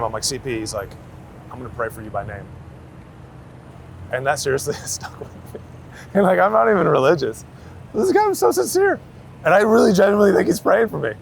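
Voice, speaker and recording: Male interviewee, creaky voice, loose slangy storyteller, recorded outside with light traffic noise. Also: medium pitch. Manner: slangy, anecdotal, hedging with "like" and "you know". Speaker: street photographer being interviewed. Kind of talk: casual chat. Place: outdoors on a busy city street. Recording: city street noise, traffic around.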